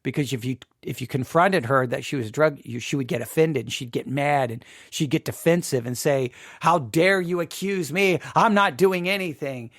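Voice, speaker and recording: A man's voice: a little high, normal speed, hardly any pauses, fairly bright tone, no echo, studio quality. A man hosting a podcast, talking informally about personal matters in an emphatic way, often repeating phrases for emphasis. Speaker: podcast host. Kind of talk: informal talk and personal commentary.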